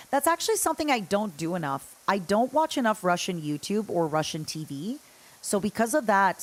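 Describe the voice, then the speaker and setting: A woman livestreamer chatting casually as she games, rambling and playful as she talks to her viewers. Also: medium pitch. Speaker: livestreamer, host. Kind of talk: casual chat while gaming, answering chat.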